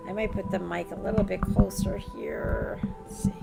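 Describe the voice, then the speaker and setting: A woman with a raspy voice hosting a live group healing call. She speaks in a gentle, reassuring and informal way. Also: medium pitch, steady tone, normal speed. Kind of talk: live-streamed conversational healing talk. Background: faint background music.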